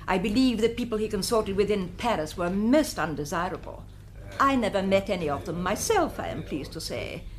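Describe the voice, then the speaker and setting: A woman's voice: medium pitch, expressive, fairly quick, close to an everyday voice. A woman acting as a prim, disapproving, genteel Englishwoman giving precise evidence in a radio mystery. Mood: cool, disapproving. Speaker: actor in a radio drama.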